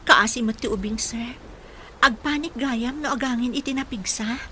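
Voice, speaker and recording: A woman playing a gentle, protective caregiver in a radio drama, soothing and respectful in manner. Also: fairly high pitch, moderate pace. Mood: concerned. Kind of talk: Ilocano radio drama acting. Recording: faint background noise.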